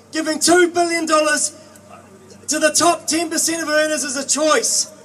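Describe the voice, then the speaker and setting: A young man gives an earnest political speech in a very high, projected voice. He sounds distant from the microphone, outdoors with faint noise, and stresses his points emphatically. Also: fairly bright tone. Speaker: student movement speaker, postgraduate student. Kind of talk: prepared political rally speech. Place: outdoors at a street march.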